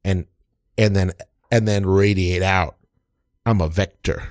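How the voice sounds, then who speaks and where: A man's voice: deep voice, fairly even intonation, measured pace, a little mellow. A man improvising a comedy sketch as an aggrieved, self-important rapper, stammering and repeating himself pompously. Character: aggrieved, self-important male rapper, easily offended, stubborn. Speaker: guest comedian.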